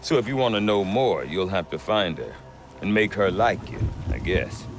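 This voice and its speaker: An actor playing a gruff middle-aged trader who talks with dry humor. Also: male voice, deep voice, moderate pace. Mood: wry. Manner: wry, dry humor, lively delivery. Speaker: merchant trader. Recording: game cutscene with music behind.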